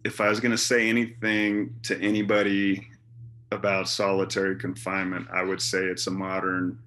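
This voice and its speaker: Bright-toned man in his forties, slow and steady. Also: low voice. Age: 40s. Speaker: formerly incarcerated interviewee. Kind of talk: testimony on a video call. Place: small indoor room. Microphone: webcam on a video call.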